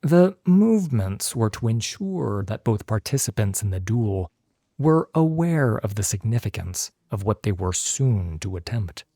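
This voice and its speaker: A man narrating a scripted fantasy story as an audiobook narrator, with deliberate, dramatic phrasing. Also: fairly low pitch, warm tone, no room sound, clean studio sound.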